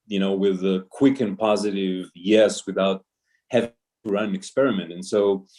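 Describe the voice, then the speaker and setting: A man's voice: lowish voice, a little mellow. A man who is a native Hebrew speaker, thinking aloud as he puts a hedged question in an academic discussion. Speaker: audience member asking a question.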